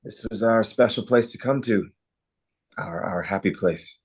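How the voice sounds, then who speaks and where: A man's voice: on the low side, measured pace, slightly rough. An actor reading an earnest, nostalgic small-town man in a holiday romance table read.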